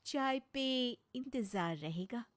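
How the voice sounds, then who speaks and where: Storybook heroine, played by a woman: young daughter-in-law, determined, assertive, sharp-tongued, high voice, dry studio sound. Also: animated, slow, no echo. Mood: coy, inviting. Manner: assertive, pointed. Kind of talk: acted Hindi moral story.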